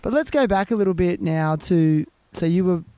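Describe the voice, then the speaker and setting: Teasing podcast host, a slightly high-voiced man. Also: lively intonation, normal speed, mellow, clear, resonant tone, dry recording. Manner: friendly, teasing. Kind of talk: casual interview.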